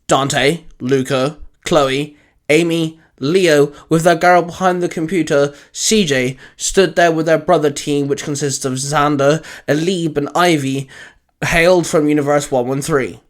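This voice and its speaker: A man hosting and narrating a fan fiction story in a dramatic, deliberate, emphatic scripted style. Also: on the high side, steady tone. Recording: music under the voice. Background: music.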